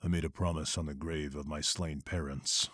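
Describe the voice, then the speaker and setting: A man playing a grim, brooding vigilante who narrates and speaks tersely and gravely. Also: rumbling low, fairly even intonation, normal speed, a bit bright, no room sound, clean studio sound. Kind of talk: inner narration and dialogue.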